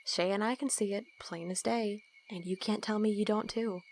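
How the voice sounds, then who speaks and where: A dry-witted young woman in an audio drama, played by an actress. She talks bluntly and teasingly, keeping her guard up in casual dialogue, with clear background noise and crickets around her. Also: mid-range voice, fairly even intonation, moderate pace, a little mellow, natural voice.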